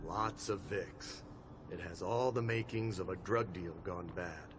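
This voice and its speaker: Seasoned commanding police captain. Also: male voice, low-pitched. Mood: frank, resigned. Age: middle-aged. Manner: authoritative, deliberate. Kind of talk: video game cutscene voice acting. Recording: music under the voice.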